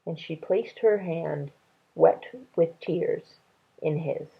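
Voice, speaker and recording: A woman storyteller reads a children's novel in a low voice with a gravelly creak. Her delivery is slow and measured, and the recording sounds thin and tinny, like a narrow band. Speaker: read-aloud storyteller. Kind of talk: reading a children's novel aloud.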